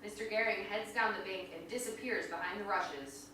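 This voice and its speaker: A woman reads stage directions in a bright, forceful voice. She is heard at a distance in a very echoey room, with faint noise. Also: medium pitch, steady tone, moderate pace. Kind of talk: scripted narration. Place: indoor venue, staged reading. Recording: very echoey room from far off, noisy.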